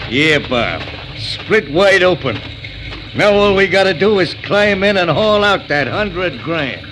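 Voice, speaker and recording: A man's voice: high voice, very animated, takes it slowly, pushed and bright, exaggerated voice. An actor playing an eager, greedy, slangy robber henchman in 1940s radio crime style. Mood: greedy satisfaction. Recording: faintly noisy 1940s radio recording.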